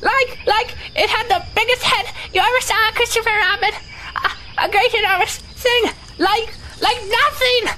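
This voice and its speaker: A very high, bright squeak of a nervous little male pig, as a woman acts him out. He frets and stammers over every worry and tips into a panic at the least scare. He belongs to a storybook audio drama. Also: moderate pace, slight background noise. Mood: panicked. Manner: stammering, fretful, easily panicked.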